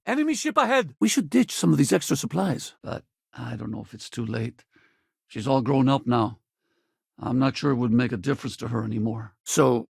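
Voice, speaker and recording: A weary, loyal middle-aged spaceman from a video game. His voice is husky and full of gravel, and it moves up and down freely as he talks in a casual, wry way. The recording is dry and close. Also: medium pitch, dry recording, full character voice. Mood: shouting in combat, then friendly, casual, then regretful. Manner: casual, wry, plain-spoken. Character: weary, wry male spaceship crewman and ex-soldier, loyal, regretful father. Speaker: video game companion character. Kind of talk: naturalistic video game dialogue.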